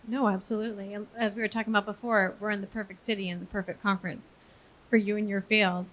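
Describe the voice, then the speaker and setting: A woman's voice: mid-range voice, little pitch movement, quick pace, fairly bright tone, low-quality recording. A woman from Maine in the United States moderating a live conference panel, chatty and self-deprecating, with lots of fillers. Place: conference stage.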